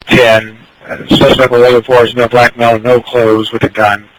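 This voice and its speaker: A man's voice: medium pitch, little pitch movement, moderate pace, no room sound, poor audio. A man working as a police dispatcher, relaying calls in clipped procedural phrases over a scanner radio. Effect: police radio transmission.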